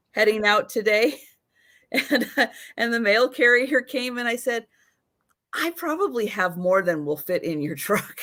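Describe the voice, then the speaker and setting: A woman's voice: fairly high pitch, sharp tone. A woman co-hosting a live sale, telling stories in an easygoing, amused way. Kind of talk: casual storytelling chat.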